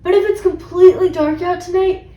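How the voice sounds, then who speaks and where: A woman reading the part of a calm, sensible father in a children's storybook, measured and reassuring. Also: very high voice, roomy sound, recorded from a distance, lo-fi sound, voice pushed up. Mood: calm, cautious.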